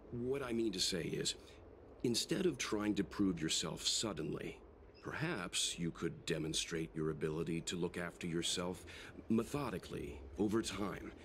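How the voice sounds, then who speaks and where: An actor playing a courteous, scholarly gentleman and tactful mentor in a video game, speaking in a polite, measured, formal way. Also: low-pitched, slight background noise. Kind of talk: scripted video game dialogue. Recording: game music behind.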